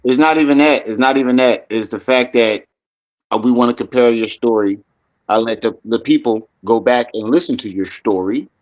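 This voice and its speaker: A man's voice: mid-range voice, a little mellow, lo-fi sound. Casual profane man hosting a livestream. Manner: loose, profane, thanks donors. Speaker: livestream host.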